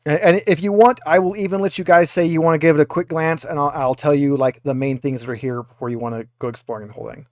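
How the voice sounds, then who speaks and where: A man with a slightly high voice narrates a tabletop game as its game master. He improvises casually, stopping and restarting as he lays things out. The sound is dry and close. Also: fairly even intonation, fast, hardly any pauses, darkish tone, no room sound.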